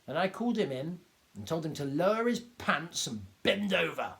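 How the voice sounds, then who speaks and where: A man voicing an excitable little village doctor who talks in a high, loud, indignant rush and trips over his words. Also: normal speed, vocal fry, heavily acted. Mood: gleeful storytelling. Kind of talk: audiobook character voice.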